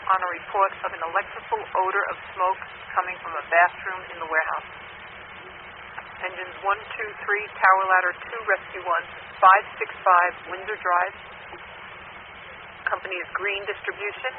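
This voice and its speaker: A man's voice: high voice, little pitch movement, moderate pace, narrow, tinny audio, noisy background. A man working as a fire dispatcher, reading out calls over a two-way radio in a procedural way and repeating the details.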